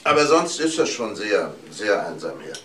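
An actor playing a courteous, measured doctor and gracious host in a crime radio drama. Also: male voice, on the low side, big pitch swings, fairly bright tone. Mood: polite, pensive. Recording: roomy, noisy old drama recording.

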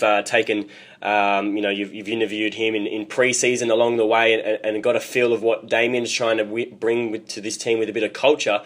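A man hosting a sports interview, speaking haltingly and repeating words as he puts his questions. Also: lowish voice, a bit bright. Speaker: sports radio host and interviewer. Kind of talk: broadcast interview.

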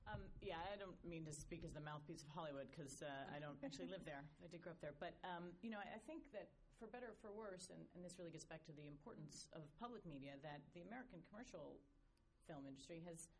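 Deep-ish woman on a panel, chatty and a bit rambly, slight background hiss. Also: fast talker. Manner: fluent but rambling, with filler phrases. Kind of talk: conference panel talk.

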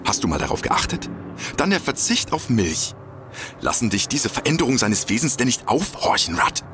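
An actor playing an eager, gullible amateur detective in a comedic audio drama, earnest, excitable and a bit hesitant. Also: male voice, medium pitch, moderate pace, background noise, lightly acted. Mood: earnest, conspiratorial. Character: eager, gullible male amateur detective obsessed with lizard people.

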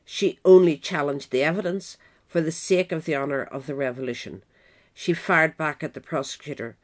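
A woman reading scripted narration in a measured, formal manner, as the narrator of a pamphlet. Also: low-pitched.

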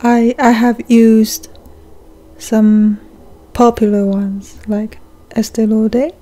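A woman's voice: a little high, little pitch movement, slow, dark tone. A woman co-hosting a podcast in casual, flirty ASMR chat, playful and teasing, close to the microphone. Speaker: podcast co-host.

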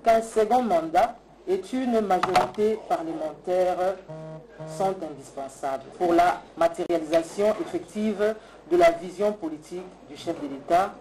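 A woman's voice: medium pitch, mellow. A woman in her thirties with a Congolese accent, reading a prepared political statement into a handheld microphone. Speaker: political coordinator. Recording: noisy press conference hall.

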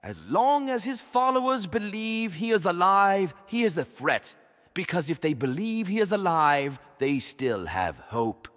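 An actor playing a smug, ruthless world dictator in an audio drama, mock-polite and theatrical. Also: male voice, high voice, sing-song, measured pace, full character voice. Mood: brooding, intense. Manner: mock-polite, theatrical, switching from exasperated to coldly quiet. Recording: long reverberant hall.

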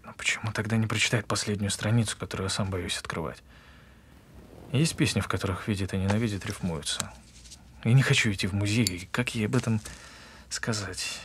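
An actor playing an evasive, self-justifying husband in a naturalistic audio drama, speaking in a halting, husky way. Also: male voice, lowish voice, steady tone, a bit bright, a little hiss or hum, natural voice. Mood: weary, hesitant. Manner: halting, pausing mid-confession. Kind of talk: naturalistic audio drama with murmured inner monologue.

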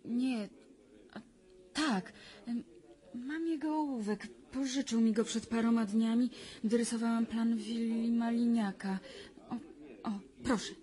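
A woman's voice: fairly high pitch, steady tone, a little slow, pauses often, dark tone, natural voice. An actress playing a troubled, intense young lady of good family in a radio drama, earnest and hesitant. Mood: startled, hesitant. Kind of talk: 1990s radio drama acting.

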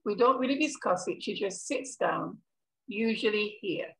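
A middle-aged woman reading a gentle, reflective Jamaican daughter's monologue into a headset. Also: mid-range voice, animated, normal speed, creaky, poor audio. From Caribbean. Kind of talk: rehearsed play monologue reading. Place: kitchen at home, video call.

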